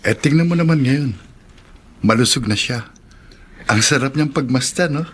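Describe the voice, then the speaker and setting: A man's voice: medium pitch, moderate pace, fairly bright tone, slight background noise, close to an everyday voice. An actor playing a kind, modest male friend in a Filipino radio drama, with a Filipino accent. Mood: gentle, admiring.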